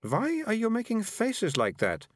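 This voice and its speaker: Man as scornful girl, high voice, sharp, haughty, very expressive, storybook reading. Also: unhurried, slight background noise, full character voice. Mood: puzzled. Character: blunt, scornful girl who hates rats.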